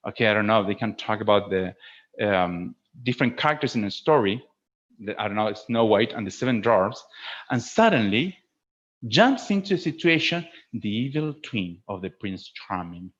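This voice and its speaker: A man speaking as a teacher trainer in an informal instructional webinar, explaining in an animated way and often checking that listeners follow. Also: on the low side, normal speed. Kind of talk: informal instructional presentation with acted demonstrations. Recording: dull lo-fi webinar audio.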